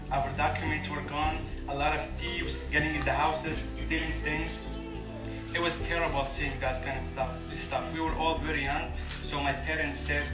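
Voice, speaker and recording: A young man from Syria with a Kurdish-accented English, telling his family's story to an audience at a community lunch in plain, halting sentences. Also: a little high, recorded from a distance. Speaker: refugee guest speaker. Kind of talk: personal story told to an audience. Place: large room at a community lunch. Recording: echoey room, voice from afar, loud music.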